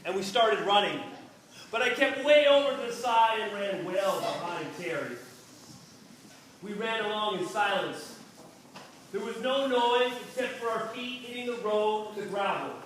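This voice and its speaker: A man's voice: high voice, a little slow, fairly bright tone. A man reading a first-person story aloud expressively, recorded at a distance from the microphone. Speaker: reader. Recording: roomy, distant microphone with faint noise.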